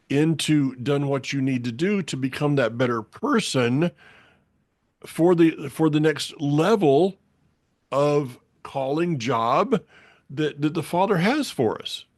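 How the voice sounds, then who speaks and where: A man's voice: on the high side, dry recording, clean studio sound. A man with a Southern US accent hosting a casual faith conversation, folksy and meandering, with lots of restarts. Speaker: podcast host.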